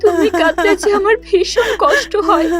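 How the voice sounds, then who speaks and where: An anxious teenage cartoon girl, voiced by a woman, speaks in an extremely high voice. She is tender and pleading, with soft music behind her. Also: normal speed. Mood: tearful pleading. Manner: pleading, emotional. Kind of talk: Bengali cartoon voice acting.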